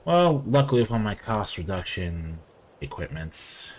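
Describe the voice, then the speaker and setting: A man's voice: fairly low pitch, big pitch swings, measured pace. A man giving casual gameplay commentary, offhand and rambling as he thinks aloud. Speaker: gamer commentating on own playthrough.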